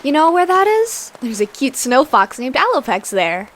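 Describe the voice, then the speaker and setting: A woman voicing an upbeat, excitable young female mutant lizard, a chatty idealist, in a comic dub. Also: high voice, moderate pace, a little hiss or hum. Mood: awed, excited.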